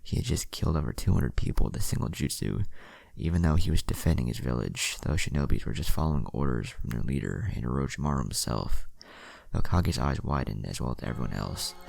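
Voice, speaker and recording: A man's voice: a bass voice, animated, a little fast, creaky, darkish tone, no echo. A man reading scripted fan fiction as a narrator. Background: music.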